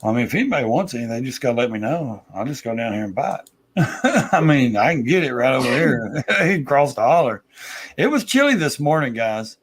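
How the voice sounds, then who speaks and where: Man, casual host, rambling, easygoing, mid pitch. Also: big pitch swings, fairly quick, slightly rough. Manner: laid-back rambling with laughs. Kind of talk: casual chat.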